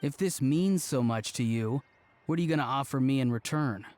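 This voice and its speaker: A man's voice: medium pitch, moderate pace, darkish tone, slight background noise, natural voice. An actor playing a laid-back young man in a video game, casual, understated and a little awkward. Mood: cool, teasing. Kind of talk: voice-acted game dialogue.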